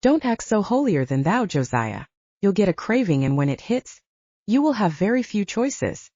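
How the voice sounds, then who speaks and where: Soft, mellow young vampire woman voiced by a woman. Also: mid-range voice, very animated, normal speed, no echo, lo-fi sound. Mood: defensive. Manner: soft-spoken, explanatory. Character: young female vampire, guarded, knowing mentor. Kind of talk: audiobook character dialogue.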